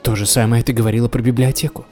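A man's voice: medium pitch, steady tone, racing, mellow, soft background music, natural voice. An actor playing an earnest, stubborn and wry young man, a student, in an audio drama. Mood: teasing, eager. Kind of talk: Russian audio drama acting.

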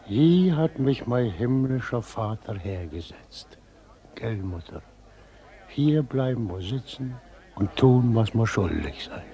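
Slow, solemn old weaver. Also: male voice, mid-range voice, mellow. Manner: solemn, unyielding. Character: stubborn, devout old weaver and family patriarch. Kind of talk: German radio drama acting.

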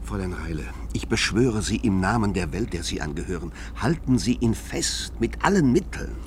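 An actor in a science-fiction radio play, playing an earnest, evasive secret-service official who speaks formally and pleadingly. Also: male voice, lowish voice, lively intonation, moderate pace, close to an everyday voice. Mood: urgent, pleading. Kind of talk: German science-fiction radio drama dialogue. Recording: noisy vintage radio play recording.

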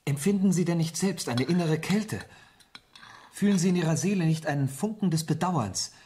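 An actor playing an earnest, fervent young idealist poet with formal, literary phrasing in a German radio play. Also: male voice, fairly high pitch, animated, moderate pace, mellow, natural voice. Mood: impassioned, pained. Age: young adult.

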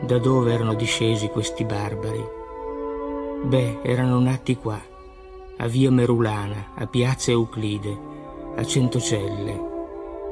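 A man giving a solemn poetry recitation, declaimed in measured short phrases. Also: medium pitch. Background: music.

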